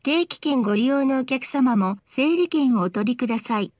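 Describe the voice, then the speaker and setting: A woman reading scripted bus announcements in a polite, formal, measured way. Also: high voice, animated, a little mellow, no room sound.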